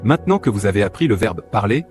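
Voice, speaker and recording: A man acting as a teacher who clearly praises a student in a scripted lesson dialogue. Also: on the low side, very animated, fast, a little mellow, faint music behind.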